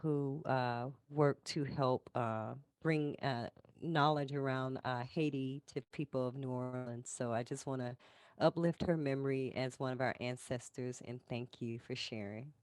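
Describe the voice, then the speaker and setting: A man's voice: mid-range voice, fairly even intonation, a little slow, clean tone, dry recording. A man in the audience of an online conference, thanking the speaker appreciatively, with many small fillers. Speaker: audience member. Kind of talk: conference Q&A remark. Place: online conference call, New Orleans.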